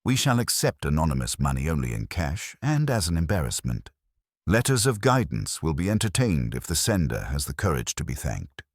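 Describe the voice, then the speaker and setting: A man voicing a pompous, ceremonious town council chairman with an official, deliberate way of speaking. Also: extremely low pitch, very animated, no room sound, studio quality, heavily acted.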